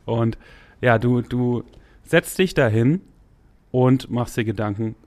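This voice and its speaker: A man in his forties chats as a guest on a podcast, loose and slangy, rambling through his thoughts with the odd laugh. His voice sits at an ordinary middle pitch. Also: slow pace, a little mellow. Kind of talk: casual podcast chat.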